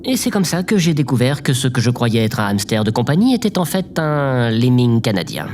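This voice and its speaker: Male actor for insecure audio-drama podcaster; self-effacing, theatrical, very lively intonation, medium register. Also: medium pitch, fairly quick, close to an everyday voice. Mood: upbeat, apologetic. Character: earnest, insecure, lovelorn amateur podcaster.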